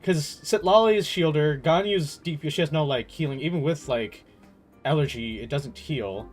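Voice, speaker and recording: A man's voice: fairly high pitch, normal speed. A man streaming a game, chatting casually and rambling through his thoughts in slangy, stop-start talk with some swearing. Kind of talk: casual livestream chat, rambling gaming commentary. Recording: music playing behind the voice.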